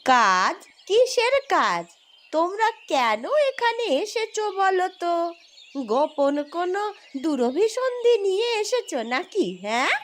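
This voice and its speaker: A woman voicing a crabby, bossy old village granny in a fairy-tale cartoon, scolding and suspicious with drawn-out words. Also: very high voice, big pitch swings, a bit bright, full character voice. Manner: scolding, suspicious, grudging, drawling.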